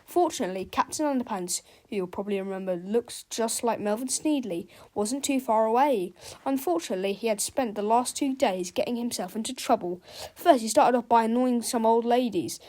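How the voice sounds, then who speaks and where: A woman's voice: a little high, a little fast, a little mellow. A woman narrating a children's storybook as a read-aloud.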